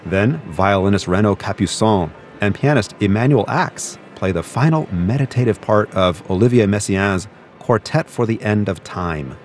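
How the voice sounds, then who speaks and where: A man hosting a classical concert broadcast, reading scripted narration in a measured, even announcer's delivery. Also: lowish voice, soft background music. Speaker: radio concert host. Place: concert venue broadcast booth.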